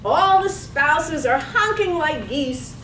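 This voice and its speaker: Woman narrator, poetic scripted reading, high voice, slow measured phrases, roomy distant recording. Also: animated. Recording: distant echoey room, faint noise.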